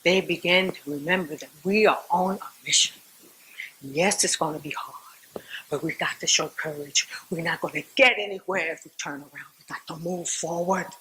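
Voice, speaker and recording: A woman's voice: on the low side, pushed and bright. Woman acting a resolute Southern activist, noisy recording. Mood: earnest, relieved. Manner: theatrical, folksy, with dramatic pauses and repetition. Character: Southern woman civil rights activist testifying to her church, devout, resolute. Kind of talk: dramatized first-person monologue, church storytelling.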